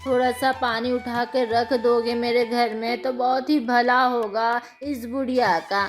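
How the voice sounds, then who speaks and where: A woman voicing a kind, patient old village woman in a cartoon moral story, coaxing gently. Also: a little high, fairly even intonation, measured pace, close to an everyday voice. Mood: pleading. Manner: gentle, coaxing, addressing the listener as child. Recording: music behind the voice.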